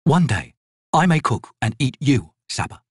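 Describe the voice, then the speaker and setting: Guy as a big proud warrior, terse slow deadpan delivery, rough voice, audiobook. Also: mid-range voice, no echo, studio quality, full character voice. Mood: mock solemn.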